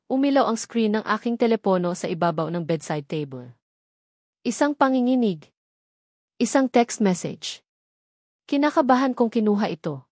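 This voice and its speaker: Female narrator, Filipino accent, mid-pitched, for scripted dramatic fiction in a very dry recording. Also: a little mellow, no echo.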